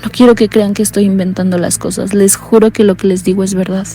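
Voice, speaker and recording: A woman narrating a horror radio drama in a hushed, fearful first-person telling. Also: medium pitch, level tone, quick pace, soft background music.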